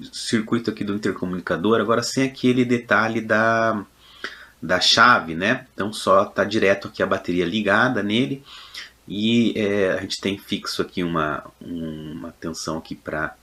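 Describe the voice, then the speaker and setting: A man's voice: on the low side, bright, forceful tone. A Brazilian man giving an informal technical tutorial as a lecturer, explaining in a hesitant way with frequent check-in tags. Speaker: electronics lecturer. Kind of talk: informal technical tutorial narration over a demonstration.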